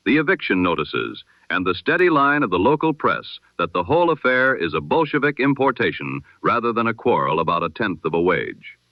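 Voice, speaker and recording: A man with a fairly low voice presents the news like a 1920s radio bulletin. His delivery is formal and measured, yet widely varied in pitch. The sound is close and dry, slightly dulled like a radio broadcast. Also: no echo, lo-fi sound.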